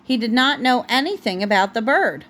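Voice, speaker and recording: Higher-voiced mother reading a bedtime story. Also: expressive, fairly bright tone. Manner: halting, often breaking off to correct the child. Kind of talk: storybook read aloud at home, with asides to a child.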